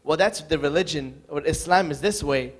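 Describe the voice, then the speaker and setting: A man's voice: a little high, moderate pace. A man from the audience earnestly asking the panel a long question, thinking aloud as he goes.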